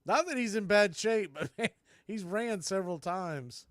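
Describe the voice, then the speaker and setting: Higher-voiced man calling live baseball. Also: lively intonation, measured pace, fairly bright tone, no room sound, clean studio sound. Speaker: play-by-play announcer and stream host. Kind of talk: live baseball radio play-by-play with casual chat.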